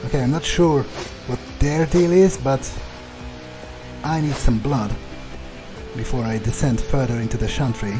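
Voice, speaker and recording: A man's voice: medium pitch, over music, low-quality recording. A man voicing his own game character in first person, gloating and menacing. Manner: menacing, gloating, slow and deliberate. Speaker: roleplaying let's play host. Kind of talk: in-character roleplay commentary.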